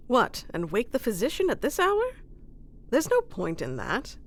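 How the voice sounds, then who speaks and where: Slow-speaking, wry fantasy queen acted by a woman. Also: a little high. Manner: teasing, dry, formal phrasing with sighs. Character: wry, composed female ruler of a fantasy kingdom, wounded ex-fighter. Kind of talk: scripted ASMR fantasy roleplay acting.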